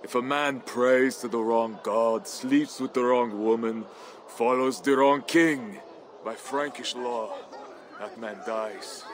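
An actor playing a grim Viking warrior. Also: male voice, medium pitch, slow, some chatter behind, lightly acted. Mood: dry.

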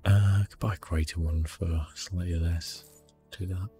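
A man giving soft, hushed ASMR gameplay commentary in a relaxed, unhurried manner. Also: low voice, music in the background. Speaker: gaming streamer, host.

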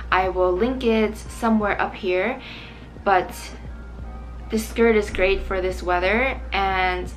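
A young woman in her twenties talks casually to camera as she does her makeup. Her voice is a little hoarse and strained. Faint music plays underneath. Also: mid-range voice, fairly bright tone, rough recording. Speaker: vlogger. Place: small bright room indoors.

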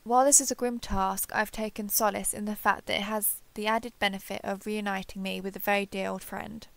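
A woman playing a warm, earnest female alien starship captain in a sci-fi roleplay audio drama. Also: mid-range voice, steady tone, brisk, vocal fry, slight background noise, natural voice. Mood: reflective. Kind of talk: roleplay audio drama, one-sided dialogue.

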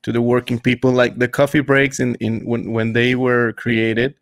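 Young man co-host, mid voice, dry close sound, halting restarts. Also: mid-range voice, little pitch movement, dry recording. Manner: halting, restarts words, non-native phrasing. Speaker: podcast co-host. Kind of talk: casual video-call interview. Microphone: studio mic with headphones on a video call.

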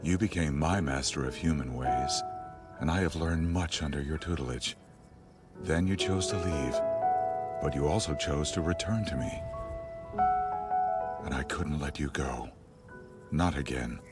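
A man voicing a skull-headed inhuman mage in an anime fandub, formal, measured and earnest, speaking very literally. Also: a bass voice. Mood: solemn. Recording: loud music under the voice.

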